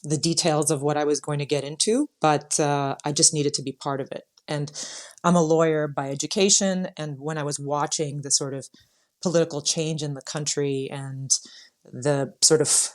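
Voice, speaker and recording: An American woman guest speaking earnestly and thoughtfully in an interview, pausing with fillers. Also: sits low, fairly even intonation. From United States. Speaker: guest, lawyer and founder. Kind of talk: casual interview answers.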